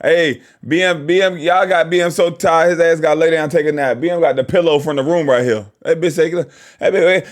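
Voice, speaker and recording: A man reacts to a clip with loose, profane jokes. His voice sits a bit high, and his delivery is casual and teasing throughout. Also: steady tone, fairly quick. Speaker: channel host reacting to a clip.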